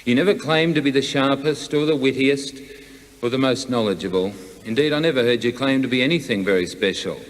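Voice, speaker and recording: Australian man giving a eulogy, echoing hall. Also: medium pitch. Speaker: eulogist, broadcast colleague. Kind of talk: prepared eulogy addressed to a late friend. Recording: long hall reverb.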